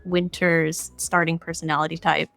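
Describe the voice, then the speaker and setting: A woman's voice: low-pitched, level tone, moderate pace, vocal fry, fairly bright tone, soft background music. A woman explaining her creative work as a guest in a casual interview.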